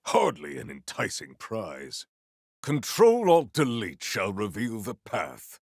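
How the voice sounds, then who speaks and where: A stern, honorable warrior for a video game. His low voice moves slowly but with broad, theatrical swings of intonation. He phrases everything formally and grandly, with deadpan earnestness. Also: gravelly, dry recording, studio quality, exaggerated voice. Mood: solemn.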